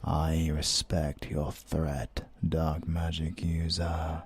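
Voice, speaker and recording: Very deep, gravelly, ominous otherworldly being. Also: male voice, completely flat delivery, exaggerated voice. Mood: formal, grave. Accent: British. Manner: formal, measured, detached. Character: ominous, courteous interdimensional being, very deep and slow. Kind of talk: audio roleplay acting.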